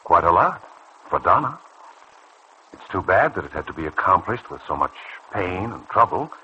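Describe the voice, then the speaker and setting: A man acting in a vintage radio soap as a calm, earnest doctor who probes and persuades in a measured way. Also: sits low, exaggerated voice. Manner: measured, probing, earnest delivery. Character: calm, earnest, persuasive male doctor counselling a younger colleague. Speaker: radio serial actor. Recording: noisy old radio drama recording.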